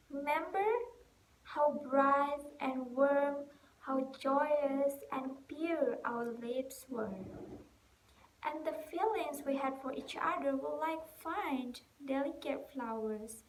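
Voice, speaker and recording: A woman playing a weary, distraught young stage actress in an emotional, halting theatrical monologue. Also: high voice. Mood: resolute, tender. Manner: halting, emotional, swinging from hushed to loud. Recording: echoey room with noticeable background hiss.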